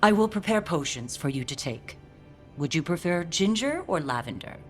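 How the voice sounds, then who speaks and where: An actress playing a stern, protective mother in a fantasy video game, with formal, measured phrasing. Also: lowish voice, animated, faint music behind, lightly acted.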